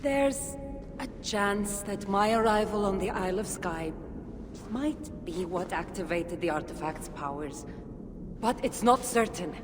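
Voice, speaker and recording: A woman's voice: medium pitch, animated, measured pace, music in the background, close to an everyday voice. A woman with a Greek accent playing a proud, earnest female warrior in a video game, insistent and emphatic. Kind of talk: dramatic video game cutscene acting. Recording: long reverb with clear background noise.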